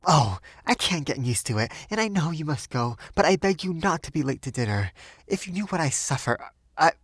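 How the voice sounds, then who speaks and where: A man's voice: fairly high pitch, lively intonation, unhurried, vocal fry, dry recording, clean studio sound, voice pushed up. Man doing a frightened young woman. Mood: pleading.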